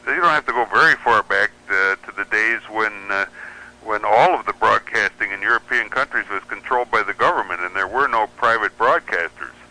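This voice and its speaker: A man's voice: fairly low pitch, brisk, pushed and bright, poor audio. An American man giving explanatory, opinionated commentary as a guest in a radio interview. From United States. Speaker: radio show guest, pirate radio enthusiast.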